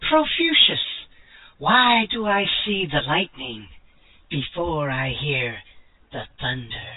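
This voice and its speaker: An old sage speaks in slow, solemn, drawn-out phrases, as a man puts him on. His husky voice swoops up and down. It sounds tinny, as if heard down a phone line. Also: a little high, lively intonation, exaggerated voice. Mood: mock solemn. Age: older. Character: ancient male sage dispensing mock-wise sayings.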